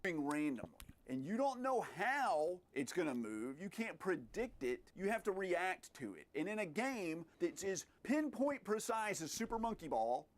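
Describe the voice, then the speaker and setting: High voiced guy doing game review commentary, kinda exasperated, voice goes up and down a lot. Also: very animated, a little slow, hardly any pauses. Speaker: gaming video commentator.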